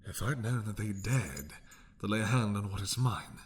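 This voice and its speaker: A man playing a possessive, doting mad dragon king in a romantic roleplay drama, with a husky, breathy voice that often drops to a whisper and a regal, seductive manner. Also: lowish voice, animated, slight background noise, lightly acted. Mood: sardonic, possessive. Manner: slow, regal, seductive purring menace.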